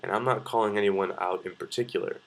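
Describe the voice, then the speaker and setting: A young Canadian man with a fairly low voice talks casually to his viewers, as a video blogger would. He shares opinions in a loose, rambling way, hesitating and breaking into his own sentences as he goes. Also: steady tone, quick pace, gravelly, low-quality recording. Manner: hesitant, rambling, self-interrupting. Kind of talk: casual opinion vlog, talking to camera.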